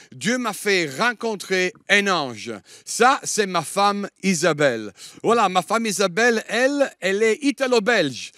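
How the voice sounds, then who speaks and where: A man's voice: sits high, fairly bright tone, dry recording, clean studio sound. A middle-aged Italian man preaching a sermon in French, with an Italian accent touched by a Liège accent, often hesitating with "euh" as he searches for words. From Italy. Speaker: guest preacher.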